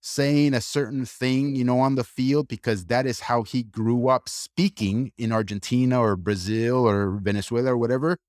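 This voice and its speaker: A man co-hosting a casual discussion, arguing his point in an animated way with quick asides and restarts. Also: medium pitch, no room sound, clean studio sound. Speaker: podcast co-host.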